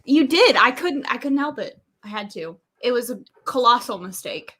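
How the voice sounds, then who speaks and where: A woman's voice: a little high, expressive, moderate pace, bright, forceful tone. A woman chatting casually and emphatically about books as a co-host of a live stream, with exclamations. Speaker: book reviewer co-hosting a live readalong chat. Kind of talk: casual chat.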